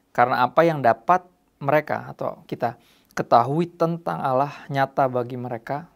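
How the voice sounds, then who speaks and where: A man's voice: medium pitch, gravelly. A man, presenting a casual religious show for young people as its host.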